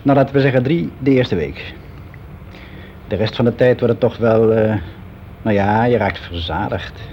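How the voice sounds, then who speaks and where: A man's voice: on the low side, normal speed, slight background noise, natural voice. An actor playing a fussy middle-aged man who confides in a hesitant, matter-of-fact, conversational way, as in a radio play monologue. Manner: hesitant, matter-of-fact, self-justifying, as if answering an interviewer. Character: frank, fussy middle-aged man confiding about his private life. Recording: dull lo-fi radio recording.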